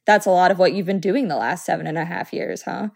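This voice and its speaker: A woman's voice: fairly low pitch, quick pace, gravelly. A woman in her thirties hosting a podcast, friendly and bubbly as she interviews a guest. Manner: bubbly, warm, laughs easily. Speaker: podcast host.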